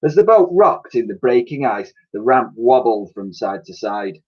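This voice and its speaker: A man reading a children's story aloud as a teacher would, with dramatic, expressive delivery. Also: mid-range voice, normal speed, husky. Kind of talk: animated storybook narration. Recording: dull, lo-fi sound.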